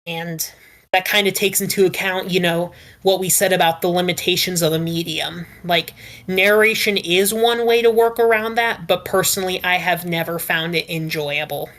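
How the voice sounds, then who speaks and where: A woman speaking as a podcast panelist, giving opinions casually in a measured, qualifying way with frequent "like". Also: fairly low pitch, a bit bright.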